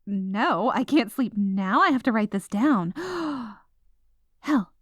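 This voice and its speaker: A woman acts out a stubborn, overtired writer girlfriend in an ASMR roleplay. Her voice is high and unhurried, recorded close and dry. She rambles and protests with dramatic indignation. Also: lively intonation, no echo. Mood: excited, delighted.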